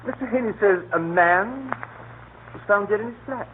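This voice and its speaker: An actor playing a jovial, scatterbrained upper-class English country gentleman who bumbles along, in an old 1930s radio drama. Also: sits high, moderate pace, lightly acted. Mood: puzzled. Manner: jovial, bumbling, repeatedly appealing to his butler. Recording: scratchy, tinny 1930s radio broadcast.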